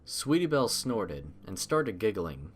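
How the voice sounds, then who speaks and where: A man's voice: lowish voice, very animated, measured pace, husky. A man reading scripted audiobook narration as the storyteller.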